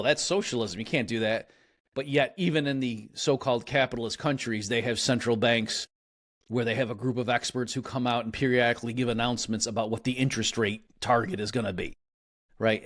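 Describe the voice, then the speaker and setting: A man talking through economic ideas in a relaxed interview, his voice at an ordinary middle pitch. He explains patiently and loosely, stopping to restart sentences and leaning on little fillers as he goes. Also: brisk. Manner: conversational, many restarts and fillers, lecturing. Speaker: economist guest.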